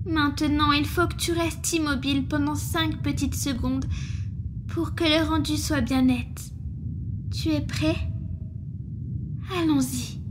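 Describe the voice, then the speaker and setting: A woman performs a protective water monster girl nurse. The voice sits high and moves slowly, always soothing and attentive. Clear background noise and ambience surround it. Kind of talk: ASMR roleplay.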